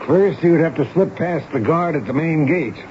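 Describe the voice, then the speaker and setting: A man's voice: fairly high pitch, moderate pace, close to an everyday voice. An actor playing a stern but fatherly army major in a 1970s radio drama, firing questions with a commanding manner. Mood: stern, irritated. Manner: commanding, interrogating. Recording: dull, noisy old radio broadcast recording.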